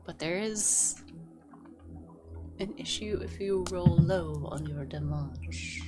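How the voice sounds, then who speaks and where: A woman running a tabletop game as game master, narrating with lively energy. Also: fairly low pitch, slow, over loud music.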